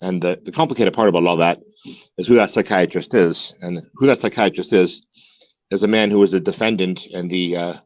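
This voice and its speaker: A man's voice: deep voice, brisk, a little mellow, no room sound, muffled recording. A man in his forties, a guest in a candid interview, talking earnestly and repeating words as he searches for them. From United States. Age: 40s. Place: home in Mexico.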